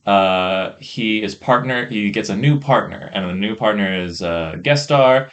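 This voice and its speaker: A man co-hosting a podcast, chatting casually in a rambling, hesitant way about what he has watched, on a rough microphone. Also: on the low side, a little slow, fairly bright tone. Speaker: podcast co-host reviewing films and shows. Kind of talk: casual chat. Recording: rough podcast mic audio.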